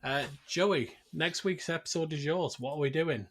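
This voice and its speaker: A man hosting a casual review podcast in relaxed, everyday conversation. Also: fairly high pitch, moderate pace, a little hiss or hum. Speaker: podcast host. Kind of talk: casual chat, review show.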